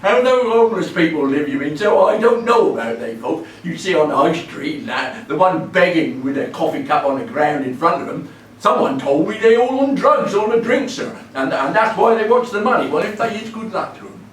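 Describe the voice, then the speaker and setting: An actor playing a cheery, deferential homeless wanderer of about seventy in a stage monologue, with a rural Hampshire accent and a halting, rambling way of talking. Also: male voice, high voice, lively intonation. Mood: chatty, good-natured. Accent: rural southern English, Hampshire. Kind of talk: theatrical dramatic monologue. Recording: echoey room, distant mic, faint noise.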